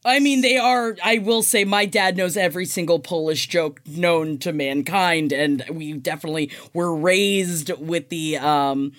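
A woman co-hosting a podcast in casual comedic chat, animated, joking and given to exclamations. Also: fairly low pitch, a little slow, few pauses. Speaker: podcast co-host.

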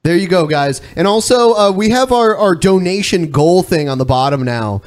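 A man's voice: high voice, expressive, normal speed. A man hosting a live-streamed podcast in casual chat, blunt and often profane. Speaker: podcast host.

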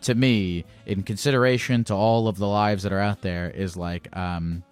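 A podcast host with a fairly low voice, blunt and teasing, who swears freely and stumbles through fillers as he chats with a caller. Soft music plays faintly underneath. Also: steady tone, moderate pace, smooth. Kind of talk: casual chat with a caller.

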